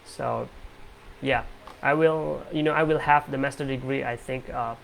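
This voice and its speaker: A man's voice: mid-range voice, steady tone, normal speed, vocal fry, slight background noise, poor audio. A young man from Indonesia with an Indonesian accent, hosting a casual English practice video call and speaking hesitantly with many fillers. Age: young adult.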